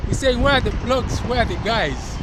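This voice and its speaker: A man vlogging outdoors beside a busy road, talking slowly to his viewers and tagging sentences with "okay?" and "all right?". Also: extremely high pitch, animated. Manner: slow, repetitive, keeps checking in with "okay?". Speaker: vlogger and musician. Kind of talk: casual vlog talk to camera. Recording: loud roadside traffic noise. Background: loud traffic noise.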